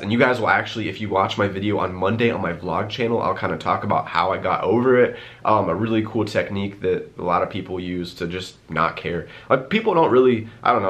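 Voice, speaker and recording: A man's voice: low-pitched, fairly bright tone. A young man in his twenties with a stuffy, congested voice, chatting casually to camera and answering viewer questions in a rambling way full of fillers. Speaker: YouTuber.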